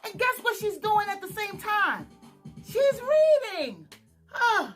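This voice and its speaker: A woman's voice: very high-pitched, big pitch swings, slow pace, faint music behind. A woman hosting a children's storytime, reading aloud in an exaggerated, singsong way and putting questions to her young listeners. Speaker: children's storytime host, author.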